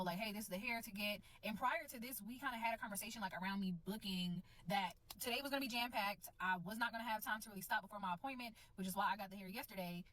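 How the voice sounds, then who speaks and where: A woman tells a casual personal story to camera at a very rapid clip. She is chatty and exasperated, and there is faint ambient noise in the recording. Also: medium pitch, a bit bright, low-quality recording. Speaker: vlogger telling a personal story.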